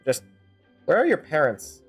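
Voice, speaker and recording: A game streamer giving rambling, animated playthrough commentary with sardonic asides. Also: mid-range voice, unhurried, slightly rough. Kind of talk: playthrough commentary with fan-dub character reading. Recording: music behind the voice. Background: game music.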